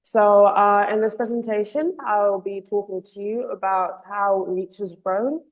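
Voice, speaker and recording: A woman giving an earnest online presentation as a communications officer, slightly hoarse from a cold, with frequent ums and uhs. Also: medium pitch, fairly even intonation, a little slow, low-quality recording. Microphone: video call.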